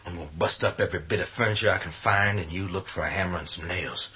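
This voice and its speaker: An actor playing a gruff, resolute middle-aged working man in a horror radio play, speaking in a folksy, commanding way. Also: sits low, fast. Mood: urgent, commanding. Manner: folksy colloquial drawl, commanding, rough-spoken. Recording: dull, noisy lo-fi audio.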